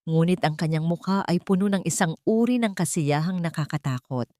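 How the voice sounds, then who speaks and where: A woman's voice: fairly low pitch, a little fast, dark tone, no room sound, studio quality. A woman narrating a scripted story in a dramatic style.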